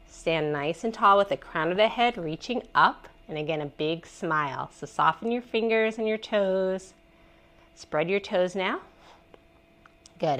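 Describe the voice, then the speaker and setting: A woman with a head cold guides a gentle yoga flow, her voice stuffy and congested. She gives soft, encouraging cues and lets out small sighs of pleasure as she stretches. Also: mid-range voice. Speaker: yoga instructor. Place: messy living room at home.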